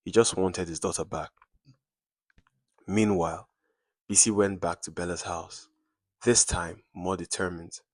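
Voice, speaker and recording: A man's voice: low voice, steady tone, measured pace, long pauses, no room sound. A man narrating a scripted dramatic story in suspenseful, short clipped phrases.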